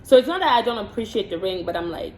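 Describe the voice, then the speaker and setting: A woman's voice: medium pitch, very animated, fast talker, darkish tone. Woman, from the Congo, casual vlog Q&A, frank and chatty, repeats words while thinking, echoey room, faint hiss. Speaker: vlogger and hair and makeup artist. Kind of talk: casual get-to-know-me Q&A, reading out questions and answering. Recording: roomy echo with faint background hiss.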